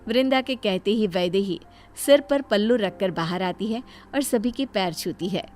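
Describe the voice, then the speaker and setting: A woman's voice: medium pitch, measured pace, a little mellow. A woman narrating a scripted bedtime story. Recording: music behind the voice. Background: background music.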